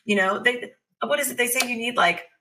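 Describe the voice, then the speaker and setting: A woman talking casually and candidly about personal experience, telling it as an animated story and voicing her inner dialogue. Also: on the high side, fairly quick, noisy background. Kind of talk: casual, confessional conversation.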